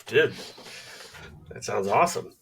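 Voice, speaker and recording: A man's voice: fairly low pitch, animated, unhurried, gravelly, crowd noise. A man in his forties co-hosting a podcast, talking in an easygoing, laid-back way about his skydiving days. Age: 40s. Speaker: podcast co-host, skydiving instructor. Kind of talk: casual chat. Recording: voice from far off the mic.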